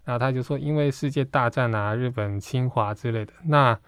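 A man's voice: mid-range voice, fairly quick, clear, resonant tone, no echo. A man from Hong Kong telling stories conversationally as an interview guest, agreeable, often repeating short affirmations. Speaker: interview guest, comic artist. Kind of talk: conversational storytelling. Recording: dry close sound, faint music behind.

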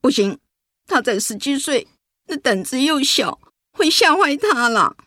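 Flustered mother with swooping pitch. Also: very animated, unhurried, sharp tone, dry recording, clean studio sound, full character voice. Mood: fretful. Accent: Taiwanese Mandarin. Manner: hesitant, fretful. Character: protective, flustered middle-aged mother hiding bad news. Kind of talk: radio drama acting.

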